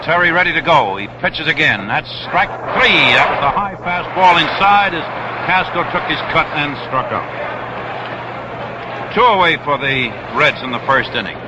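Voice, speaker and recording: A man calling baseball play-by-play on 1960s radio in a measured, explanatory way, repeating himself deliberately. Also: fairly high pitch, lively intonation. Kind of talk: live 1960s radio sports commentary. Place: outdoors at a baseball stadium. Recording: noisy vintage outdoor radio broadcast.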